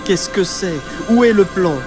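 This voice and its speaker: A man's voice: high voice, unhurried, music in the background. A man playing a puzzled, impatient seeker in a cartoon, questioning and complaining. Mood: confused, frustrated. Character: puzzled, impatient man seeking guidance from spirits. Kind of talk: dubbed cartoon dialogue.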